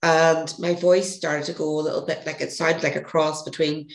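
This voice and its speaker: A woman with a gravelly, hoarse voice, a podcast guest telling a rambling story and correcting herself as she goes. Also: low voice, little pitch movement, normal speed. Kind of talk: casual interview storytelling. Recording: echoey room.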